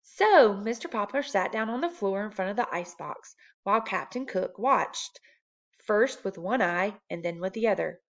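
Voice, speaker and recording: A woman's voice: medium pitch, expressive, moderate pace, lo-fi sound. A woman reading a children's chapter book aloud as a narrator. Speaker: storybook reader.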